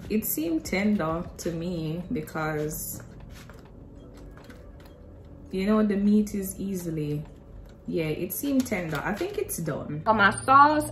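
A young woman with a Jamaican accent talks casually through her cooking in a home kitchen. Her voice sits at a middle pitch and her phrasing is informal, tinged with Creole. Soft music plays faintly behind her. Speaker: cooking vlogger. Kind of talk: casual chat while cooking.

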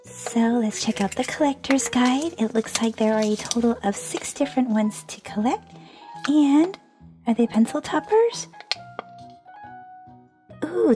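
A woman's voice: sits high, a little mellow. A woman hosting a toy unboxing video, chatting cheerfully and gushing over each toy. She is under the weather and losing her voice, and she giggles often. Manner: bubbly and gushing, repeats "super awesome", giggles often. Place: indoors at a tabletop toy display.

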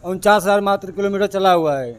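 A man's voice: sits high, fast talker, smooth. A young man working as a car salesman, giving terse, matter-of-fact details about vehicles on a showroom lot. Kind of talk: short answers about cars. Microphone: lapel mic held in hand.

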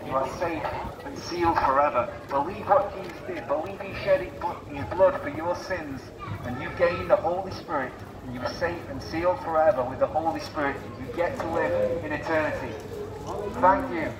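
Distant, high-voiced male street preacher. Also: normal speed. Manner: declarative preaching in short emphatic phrases. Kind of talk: open-air evangelistic sermon. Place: outdoors on a busy pedestrian shopping street. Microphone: loudspeaker PA, picked up by camera at a distance. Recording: roomy, distant PA sound, noisy street.

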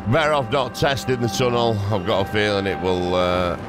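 A man giving casual let's play commentary, talking to himself with excitable exclamations and repeated words. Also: fairly low pitch, normal speed. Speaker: video game streamer. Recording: game music and gunfire behind. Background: game music and gunfire.

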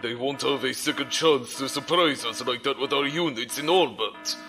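A scornful space commodore in an audio drama. His voice is forceful and gravelly, with a pompous, commanding bite, over faint music. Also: mid-range voice, normal speed. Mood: furious. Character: stern, scornful male space military commodore.

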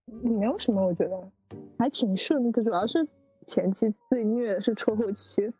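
A woman's voice: medium pitch, normal speed. A woman chatting casually with fellow production staff, gushing and repeating phrases for emphasis. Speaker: audio drama production staff. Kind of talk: casual group chat. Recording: muffled online voice chat, music behind. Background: background music.

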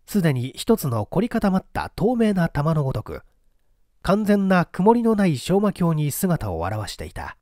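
A man narrating a literary mystery story from a script, with deliberate, dramatic storytelling delivery. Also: on the high side, a little mellow, no room sound, clean studio sound. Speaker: audiobook narrator, stage actor.

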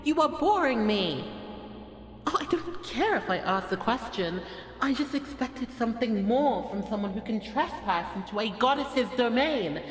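A woman's voice: fairly high pitch, animated, normal speed, natural voice. A woman playing a haughty, bored, playful goddess of destruction in an ASMR audio roleplay, with an imperious, mocking, theatrical delivery. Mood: exasperated, mocking. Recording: echoey hall reverb, faint background noise.

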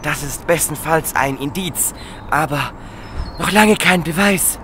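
Woman as low-voiced bossy boy detective. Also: measured pace, background noise. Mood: sceptical.

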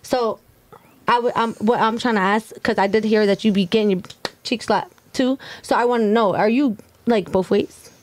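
A woman's voice: fairly high pitch, normal speed. A woman, a guest on a podcast, asking a question in a hesitant, rambling way, speaking into a studio mic. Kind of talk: casual chat. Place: indoor podcast studio, sitting on a couch. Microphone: studio mic on a boom arm.

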